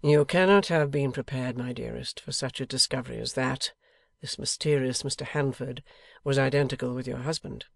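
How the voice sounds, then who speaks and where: A man reading as a solemn, affectionate young Victorian husband in a storybook, measured, formal and emphatic. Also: mid-range voice, fairly even intonation, creaky, no echo, studio quality. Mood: gentle, probing.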